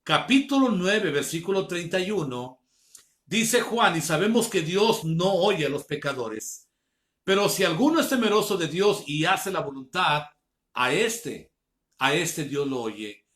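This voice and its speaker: A man, preaching an online Bible class, earnestly calling his listeners brothers and slowing down to read scripture. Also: fairly high pitch, lively intonation, a bit bright. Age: 50s.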